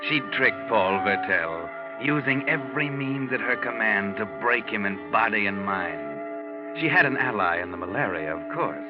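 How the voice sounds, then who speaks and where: An actor playing an ominous, sardonic all-knowing storyteller with an American accent, in 1940s radio narration. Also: male voice, lowish voice. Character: sardonic omniscient mystery storyteller, coolly gloating. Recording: muffled 1940s radio recording.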